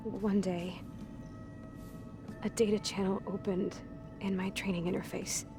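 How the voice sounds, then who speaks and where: A woman voicing a guarded, hurt young woman in a video game, speaking in hushed, halting phrases that turn defensive and raw. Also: mid-range voice, little pitch movement, lightly acted. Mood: wistful. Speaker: game character. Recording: game cutscene with music behind.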